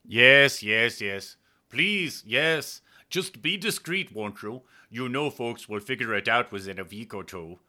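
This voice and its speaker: Man voicing a lovesick, defeated space officer, bright-edged. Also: medium pitch, lively intonation. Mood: pleading, defeated. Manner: pleading, halting repetitions, courtly endearments. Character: lovesick senior male space officer, reluctantly resigned, tender.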